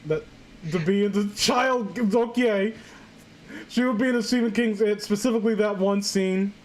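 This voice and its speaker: A woman's voice: medium pitch, moderate pace, darkish tone, low-quality recording. A woman hosting a casual comedic reading, joking crudely and irreverently as she riffs with friends. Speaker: host of a story-reading show.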